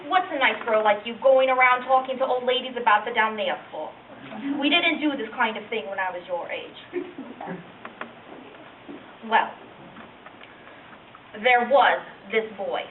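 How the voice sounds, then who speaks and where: A woman playing an embarrassed, wistful woman of seventy-two in a comic stage monologue, halting and repeating herself. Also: a little high, echoey room, close to an everyday voice. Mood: flustered nostalgia. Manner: flustered, halting, repeating phrases for effect. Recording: muffled distant stage recording, noisy laughing audience.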